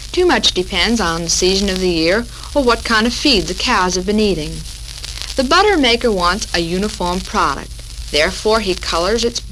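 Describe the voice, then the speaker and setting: An American woman hosting a scripted 1940s women's radio talk, reading in a measured, formal way. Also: mid-range voice. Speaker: radio show host. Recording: noisy crackling 1940s radio recording.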